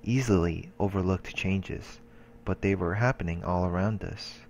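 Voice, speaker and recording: A man's voice: low voice, normal speed, a little hiss or hum. A man hosting a let's play, reading game narration aloud with occasional casual asides.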